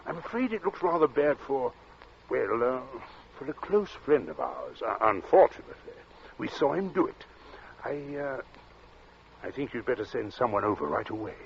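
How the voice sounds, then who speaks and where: An actor playing a genial, smoothly dissembling middle-aged gentleman in an old radio drama, hemming affably. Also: mid-range voice, very animated, normal speed. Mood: nervous, hesitant. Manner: affable, hemming, affectedly casual. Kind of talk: golden age radio drama acting. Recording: dull, hissy old radio recording.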